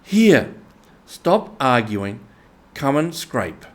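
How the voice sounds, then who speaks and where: A man voicing an excitable, bossy male rat in a storybook reading, exclaiming emphatically with impatience. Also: mid-range voice, very slow, full character voice. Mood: impatient despair. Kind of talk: animal character in storybook reading.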